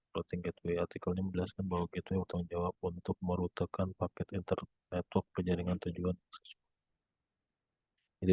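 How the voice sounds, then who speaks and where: Flat, low-voiced young man reading aloud. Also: breathy edge, dry recording. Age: young adult. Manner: monotone read-aloud with occasional stumbles. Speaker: student presenter. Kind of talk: scripted reading, academic presentation. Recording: muffled phone-like narrow band sound.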